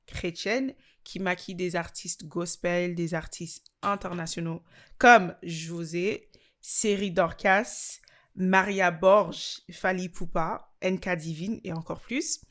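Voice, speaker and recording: A woman hosting an interview show, poised when reading her introduction and relaxed and chatty when asking questions. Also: lowish voice, slow pace. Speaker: podcast host. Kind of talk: scripted intro, then casual interviewing.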